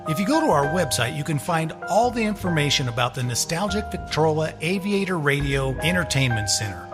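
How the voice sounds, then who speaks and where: A man's voice: on the high side, very animated, brisk. A man hosting internet radio, reading a scripted promotional announcement. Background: loud music.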